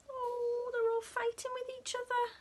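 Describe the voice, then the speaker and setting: A woman reading a picture book aloud to young children in an expressive, dramatic storytelling manner. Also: very high voice. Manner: dramatic, with hushed whispers and gasps.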